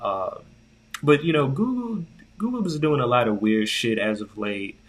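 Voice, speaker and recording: A man co-hosting a podcast in casual chat, slangy and profane, telling things in an animated way and repeating words for emphasis. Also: mid-range voice, normal speed. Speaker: podcast co-host.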